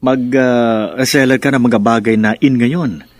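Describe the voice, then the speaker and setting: An actor playing a devoted, reassuring young husband in a radio drama, soothing and affectionate. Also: male voice, medium pitch, steady tone, unhurried, dry recording, lightly acted. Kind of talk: melodramatic radio drama acting.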